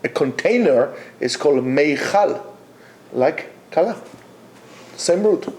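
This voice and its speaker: A male yeshiva teacher lectures his students in an exhorting, emphatic way, stopping often between phrases. His voice sits fairly high and swings widely in pitch. He takes his time and speaks slowly. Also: lively intonation, a little mellow. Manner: emphatic, exhorting, with many pauses.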